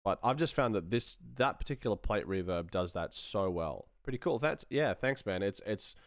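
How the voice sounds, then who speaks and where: A man's voice: on the low side, expressive, moderate pace, dry recording, studio quality. A man giving a casual live-stream tutorial as a sound designer, thinking aloud while he works.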